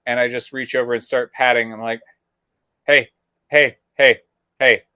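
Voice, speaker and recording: A man co-hosting a podcast, telling an animated story in casual chat and acting out the dialogue. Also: medium pitch, measured pace, clear, resonant tone, no room sound, clean studio sound. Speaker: podcast co-host.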